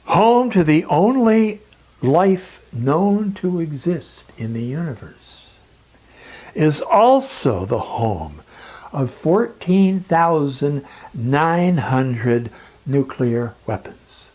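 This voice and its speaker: A speaker delivering a dramatic scripted monologue in a grave, emphatic, oratorical manner with deliberate pauses. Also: mid-range voice, very animated, darkish tone.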